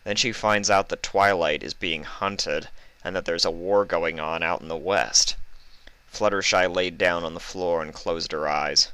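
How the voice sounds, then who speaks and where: A man narrates a written story in a low-pitched, bright voice. The recording is close and dry. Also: steady tone, unhurried, smooth, no room sound. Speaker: fan fiction reader.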